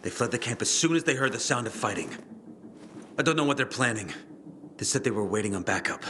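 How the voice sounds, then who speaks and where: An actor playing a gruff, boastful, hard-drinking young male fighter in a video game, talking brashly and suspiciously. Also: mid-range voice, animated, quick pace, pushed and bright, a little hiss or hum. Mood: urgent.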